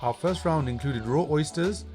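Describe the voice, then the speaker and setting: A man, a travel vlogger, narrating a voice-over in a relaxed, informative way. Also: on the high side, normal speed. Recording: voice-over with guitar music. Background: guitar music.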